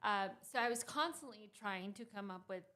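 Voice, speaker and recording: A woman's voice: mid-range voice, animated, moderate pace, sharp tone. Woman founder in her 30s, grew up in costa rica, laughs a lot, lots of ums, studio chat. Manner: laughs often, many fillers and restarts. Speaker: startup founder and guest. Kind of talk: casual interview conversation.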